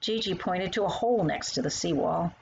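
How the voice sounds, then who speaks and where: A woman narrating a children's story as its author, in an expressive style that acts out the dialogue. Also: fairly low pitch, fairly quick, creaky, dull audio, a little hiss or hum.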